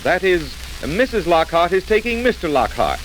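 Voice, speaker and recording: A man's voice: high voice, very animated, moderate pace, fairly bright tone, slight background noise, low-quality recording. A man giving scripted narration in the style of 1930s radio. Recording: vintage radio recording with music.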